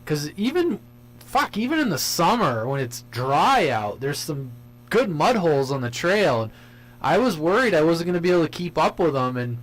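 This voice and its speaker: A man's voice: a little high, big pitch swings, normal speed, low-quality recording. A man chatting casually over CB radio, telling things in an easygoing way with some swearing.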